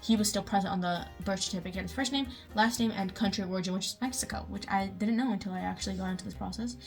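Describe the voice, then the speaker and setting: Young woman, casual how-to vlogger, rapid and filler-heavy, mid pitch. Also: soft background music. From United States. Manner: chatty, lots of fillers like "you know" and "um".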